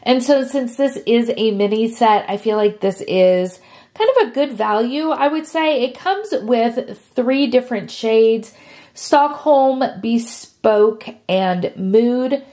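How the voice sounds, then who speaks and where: A woman's voice: fairly high pitch, takes it slowly, warm tone. A woman, sick and sniffly, chatting casually to camera as a beauty vlogger. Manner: chatty and friendly, with frequent fillers.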